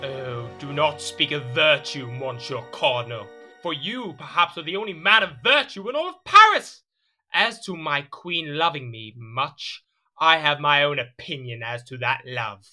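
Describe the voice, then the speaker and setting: A man's voice: a little high, animated, unhurried, bright, forceful tone, faint music behind, heavily acted. An actor playing a petulant, jealous French king in a period audio drama, speaking in an imperious, indignant, theatrical manner. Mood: jealous, agitated.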